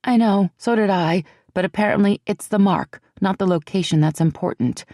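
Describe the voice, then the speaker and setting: A woman's voice: medium pitch, moderate pace, warm tone, no echo, clean studio sound, pitched above the performer's own voice, close to an everyday voice. A woman voicing an earnest young woman who speaks firmly and reassuringly. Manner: earnest and firm.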